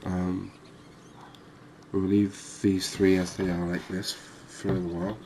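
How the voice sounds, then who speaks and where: A man with a deep voice murmurs ASMR commentary on a game. He takes his time, with long slow phrasing and little melodic movement. Some background noise and ambience sits behind him. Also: level tone. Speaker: host of a gaming series.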